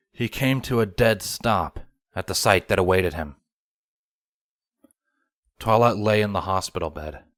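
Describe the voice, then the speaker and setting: A man's voice: fairly low pitch, fairly bright tone, dry recording, clean studio sound. A man giving a scripted narration of a story as its reader. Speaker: fan fiction narrator and channel host.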